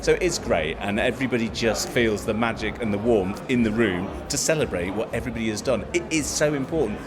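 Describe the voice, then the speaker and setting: A theatre producer with a lowish voice talks warmly and with enthusiasm about his work. A busy crowd murmurs around him. He is being interviewed at an awards night. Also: a little fast. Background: crowd chatter.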